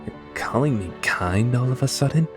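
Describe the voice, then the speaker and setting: A man playing an aloof, awkward young man with hidden kindness in a dubbed visual novel, offhand and awkwardly gentle. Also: mid-range voice, expressive, normal speed, music in the background, lightly acted. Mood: puzzled, softening.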